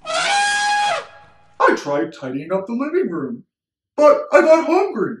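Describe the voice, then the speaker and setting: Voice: high-pitched, wildly swooping pitch, slow, fairly bright tone, echoey room, soft background music, voice pushed up, heavily acted. A performer voicing a genial, playful dad of a young boy, chatting cheerfully in a children's story. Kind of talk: acted children's story dialogue.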